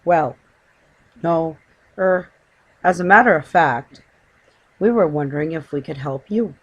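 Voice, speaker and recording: A woman voicing an excitable, easily flustered middle-aged husband in a children's story. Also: low-pitched, unhurried, lo-fi sound. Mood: embarrassed, doubtful. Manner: insistent, hesitant when embarrassed.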